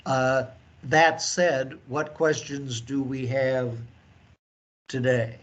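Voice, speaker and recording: Man, meeting host, very slow pace, medium pitch, deliberate formal phrasing. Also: fairly even intonation, muffled recording. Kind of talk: semi-formal meeting moderation. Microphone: conference call.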